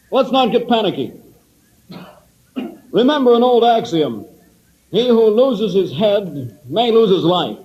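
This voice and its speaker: Husky, high-voiced stern submarine captain. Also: male voice, a little mellow, heavily acted. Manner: barking, authoritative orders. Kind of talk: old-time radio drama acting. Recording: dull lo-fi old radio recording.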